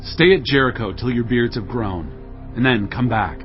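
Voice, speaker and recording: An actor playing a commanding, deliberate ancient Israelite king in a dramatized audio Bible. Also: mid-range voice, dull audio, lightly acted. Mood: firm. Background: background music.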